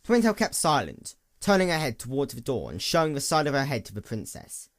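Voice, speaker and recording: A man's voice: mid-range voice, wildly swooping pitch, fairly quick, a little hiss or hum. A man reading a dramatic scripted story as its narrator. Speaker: narrator, fan fiction reader.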